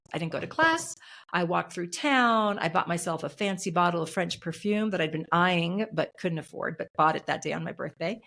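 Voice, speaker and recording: A woman's voice: medium pitch, fairly bright tone. A middle-aged woman being interviewed as an author and coach, speaking earnestly and emphatically, repeating her key phrases. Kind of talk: podcast interview.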